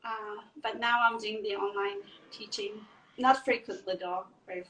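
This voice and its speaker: A woman's voice: mid-range voice, steady tone, normal speed, sharp tone, a little hiss or hum, low-quality recording. A woman in her thirties from Turkey, for whom Turkish is the first language, answering interview questions in a casual, hesitant way with many fillers and restarts. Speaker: guest dancer.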